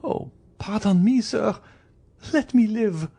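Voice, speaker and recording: A man voicing a terrified gentlewoman begging her husband for mercy, with wailing, faltering pleas in a dramatic story reading. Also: sing-song, measured pace, husky, exaggerated voice. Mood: desperate pleading. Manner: high, wailing, stammering pleas. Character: terrified, pleading wife, a guilty gentlewoman poisoner.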